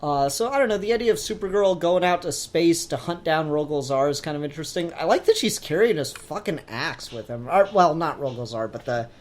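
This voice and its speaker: A man co-hosting a casual discussion, animated and sweary, prone to acting out bits of dialogue. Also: a little high, a little fast, few pauses. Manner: animated, profane, acts out quoted dialogue. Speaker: podcast co-host discussing comics. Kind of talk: casual chat.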